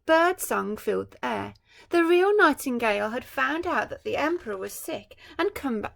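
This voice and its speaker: Gravelly storytelling woman teacher. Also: a little high. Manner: gentle, musing asides to young listeners. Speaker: primary school teacher. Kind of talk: reading a storybook aloud to children.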